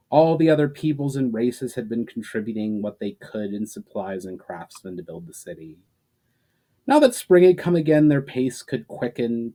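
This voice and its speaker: Male author-narrator, mid-pitched voice, reading his own fantasy fiction as a dramatic storytime. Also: dark tone. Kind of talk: dramatic reading of a fantasy novel, with informal introduction.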